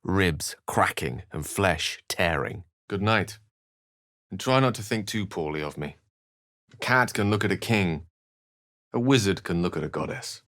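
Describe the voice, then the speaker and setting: An actor playing an eloquent, wry and melancholy wizard in a video game, with articulate, theatrical phrasing. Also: male voice, sits low, animated, unhurried, a bit bright, no room sound, clean studio sound, exaggerated voice. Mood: courteous, wry. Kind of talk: video game character dialogue and narration.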